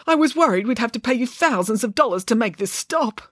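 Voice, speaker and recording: Woman playing a flustered, high-pitched male manager. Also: lively intonation, brisk, no room sound, clean studio sound. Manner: anxious, whiny begging. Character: flustered, pleading male shopping centre manager.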